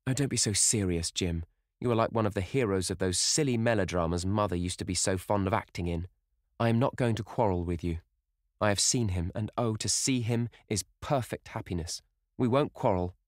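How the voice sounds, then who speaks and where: A man's voice: lowish voice, a little fast, dry recording, studio quality, pitched above the performer's own voice, natural voice. A man voicing a dreamy, playful young woman in love, as an audiobook character. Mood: playful scolding.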